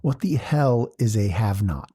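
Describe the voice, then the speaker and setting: A man's voice: fairly low pitch, expressive, normal speed, mellow, husky. A man co-hosting a podcast, chatting casually and asking curious questions. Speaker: podcast co-host. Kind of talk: casual chat.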